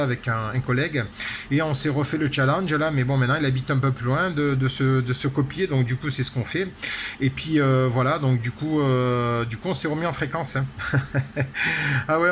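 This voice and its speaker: Rambling male radio operator over background noise. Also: medium pitch. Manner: rambling, full of repeated tag words. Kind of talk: casual radio contact chat.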